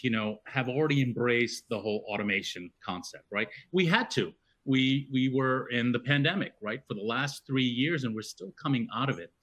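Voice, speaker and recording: A man's voice: medium pitch, fairly bright tone. A man moderating a business webinar panel in a conversational way, with many fillers and restarts.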